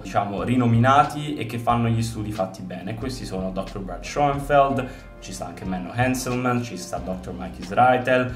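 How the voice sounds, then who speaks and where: Young fitness guy vlogging in his room, roomy echo, bit far from the mic. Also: medium pitch. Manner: chatty, many fillers like "diciamo" and "giusto?". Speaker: fitness coach and video host. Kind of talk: casual explanatory vlog to camera. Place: bedroom-like room indoors. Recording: roomy sound from away from the mic, faint music behind.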